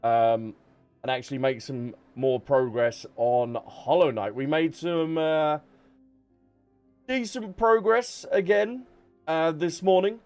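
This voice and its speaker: A man's voice: a little high, slow, darkish tone, clean tone, faint music behind. A man hosting a livestream, talking casually and informally to his viewers in a chatty way. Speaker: live streamer, host.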